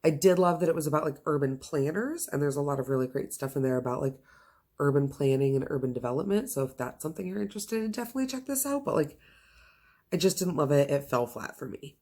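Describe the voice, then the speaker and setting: A woman's voice: deep voice, expressive, fast, warm tone. A woman hosting a casual book recommendation vlog, speaking in an enthusiastic, gushing and emphatic way. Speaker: book reviewer host.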